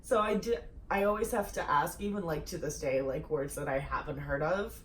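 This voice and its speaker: A young woman from Russia, a native Russian speaker, chatting casually with a lowish voice. She rambles, giggles and swears as she tells her stories. The recording is roomy and distant, with a faint hiss behind her. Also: moderate pace. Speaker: podcast host. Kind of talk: casual chat. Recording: echoey room, distant mic, faint noise.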